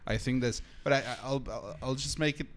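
A young man with a medium-pitched voice chats informally with friends on a podcast. His delivery is casual and jokey. There is faint noise behind his voice. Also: a little fast. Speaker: podcast participant. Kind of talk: casual joking conversation among friends.

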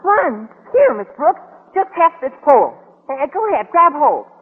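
A man playing an eager, gawky teenage schoolboy with a squeaky adolescent voice in an old radio comedy. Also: big pitch swings, normal speed. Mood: boyish enthusiasm. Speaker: actor. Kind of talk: 1950s radio comedy acting. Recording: tinny, slightly noisy old radio recording.

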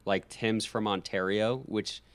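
Young adult man, Canadian accent, relaxed interview guest, lowish clear voice. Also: a little slow. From Alberta, Canada. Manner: relaxed, laughs easily, frequent ums and restarts. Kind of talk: casual conversation.

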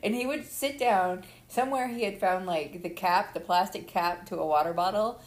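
A woman's voice: mid-range voice, moderate pace. A woman co-hosting a podcast, chatting casually and laughing often. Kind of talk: casual chat. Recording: echoey room.

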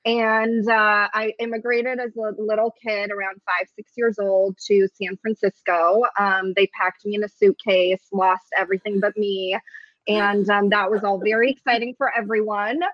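A woman's voice: mid-range voice, flat delivery, moderate pace, no echo. Joking comedian woman, dry dull audio. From Ukraine. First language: Russian. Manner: joking, rambling, laughs often. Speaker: comedian guest. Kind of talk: casual podcast chat.

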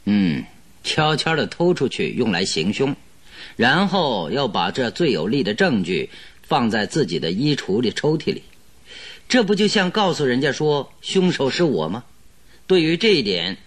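A man playing a shrewd detective in a radio drama, questioning and reasoning in a deliberate way. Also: medium pitch, very animated. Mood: probing. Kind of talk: Mandarin radio drama acting.

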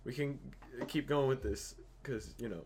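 A man's voice: mid-range voice, normal speed, noisy background. A young man hosting a casual podcast chat, joking and teasing his friends. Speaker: podcast host.

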